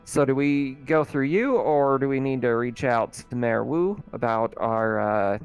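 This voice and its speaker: Tabletop game colony official, voiced by a man: weary, needy, hesitant, mid-pitched. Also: smooth, faint music behind, natural voice. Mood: hesitant, hopeful. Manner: hesitant, halting requests.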